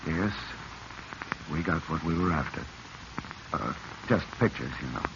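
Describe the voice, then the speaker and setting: Scheming American crook, wildly swinging pitch. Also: male voice, expressive, unhurried, gravelly, full character voice. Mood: feigned grief. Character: scheming, greedy American man, a secret murderer. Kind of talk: 1940s radio acting. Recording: dull, noisy vintage radio recording.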